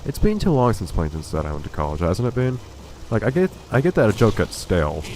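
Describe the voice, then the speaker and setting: A man's voice: lowish voice, wildly swooping pitch, quick pace, darkish tone. A man with a stuffy, congested voice giving dry, wry commentary while playing a video game. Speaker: let's play host. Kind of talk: casual gameplay commentary.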